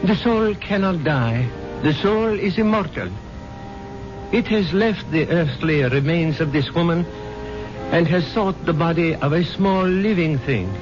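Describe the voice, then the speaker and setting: A mystic doctor delivering a slow, solemn eulogy in an intoning, slightly raised voice. It is heard as a dull vintage radio broadcast with music underneath. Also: male voice, a little high, lively intonation. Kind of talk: 1970s radio drama acting.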